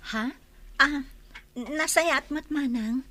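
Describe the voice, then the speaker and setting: High-voiced polite young village woman, acted. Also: very slow, bright, forceful tone, full character voice. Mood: puzzled. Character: polite younger village woman, puzzled fruit-selling neighbour. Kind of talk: Ilocano comedy radio drama acting.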